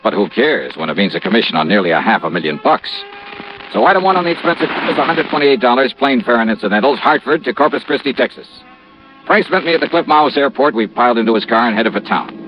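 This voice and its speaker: An American actor playing a brash, shrewd insurance investigator in a wry, punchy 1950s radio detective style. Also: male voice, mid-range voice, lively intonation, quick pace, over music, natural voice. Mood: brisk narrating. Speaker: lead actor and narrator of radio detective series. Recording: dull, noisy old radio recording.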